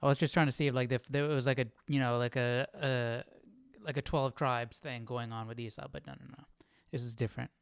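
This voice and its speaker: A man's voice: mid-range voice, steady tone, a little fast, smooth. A man hosting a livestream Bible study, commenting informally and thinking aloud as he follows along. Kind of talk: casual live commentary on a reading.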